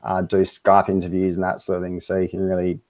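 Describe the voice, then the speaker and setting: An Australian man talking casually as an interview guest over a video call, relaxed and full of fillers. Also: low-pitched, quick pace. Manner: relaxed, easygoing, lots of fillers and laughs. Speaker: interview guest, web design business owner.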